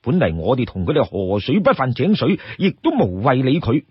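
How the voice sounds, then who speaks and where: A man's voice: mid-range voice, very animated, moderate pace, slightly rough, no echo, dull audio. A man voicing an eager, proud young outlaw fighter in a storybook tale, spirited and earnest. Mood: indignant, urging.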